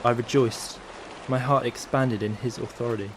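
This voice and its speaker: A man's voice: mid-range voice, steady tone, moderate pace, background noise, lightly acted. A man acting an orderly, self-effacing banker's son, speaking earnestly and with reserve in a literary drama. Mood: earnest, reverent.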